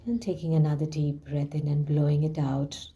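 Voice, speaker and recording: A woman leading a guided relaxation visualization in a soothing, hushed manner with gently drawn-out phrasing. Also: low voice, little pitch movement, breathy edge. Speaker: guided meditation host. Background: background music.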